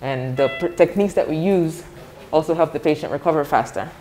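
A woman's voice: sits low, fairly quick, mellow, faint music behind. A young woman from Ghana with an American accent, a clinic director explaining services in a friendly way while giving a tour. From Ghana, raised in the United States. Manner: friendly, explanatory, laughs easily. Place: clinic corridor indoors.

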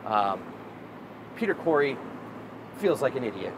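A man's voice: mid-range voice, very animated, unhurried. A man in his thirties leading an outdoor walking tour, telling history as a story with lots of fillers and restarts. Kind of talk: casual guided history talk. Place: outdoors on a city street beside a prison wall. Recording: outdoor street with traffic noise.

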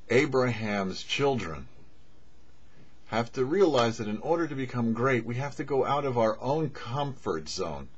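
A middle-aged man delivers a short rabbinic teaching in measured phrases sprinkled with Hebrew. His voice is husky and rises and falls in a lively way at a medium pitch. The recording is dull and lo-fi. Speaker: rabbi, host of a weekly Torah show. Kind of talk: short religious teaching to camera. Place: indoors before a cloth backdrop. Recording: dull, muffled lo-fi audio.

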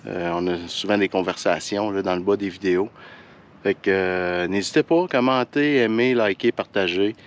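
Low-voiced Québécois man vlogging outdoors. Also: moderate pace, highs cut off. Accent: Quebec French. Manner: relaxed, hesitant, colloquial. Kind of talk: casual vlog chat to camera. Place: outdoors on a forest hiking trail and mountain summit. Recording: outdoor ambient noise behind voice.

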